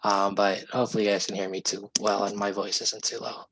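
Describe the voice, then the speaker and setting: A hoarse man explaining software pricing and support on a video call, polite and steady. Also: lowish voice, a little fast, slight background noise. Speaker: sales representative giving a software demo. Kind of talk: video call sales pitch.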